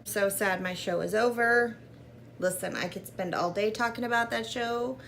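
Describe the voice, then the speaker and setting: A woman's voice: medium pitch, moderate pace, creaky, a bit bright, slight background noise. A woman in her mid-thirties chatting casually through a makeup tutorial, with self-deprecating asides, recorded by a fixed camera in a small room. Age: 30s. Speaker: makeup vlogger. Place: small room in front of a gray curtain. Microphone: fixed camera at arm's length.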